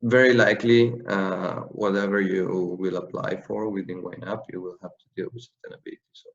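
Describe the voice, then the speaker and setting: A man speaking as a panel guest in an online webinar, relaxed and filler-laden, with slightly non-native English phrasing. His voice is very deep with a gravelly fry. The call audio comes through dull and lo-fi. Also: a little fast. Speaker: panel guest, corporate sustainability lead. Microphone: video call.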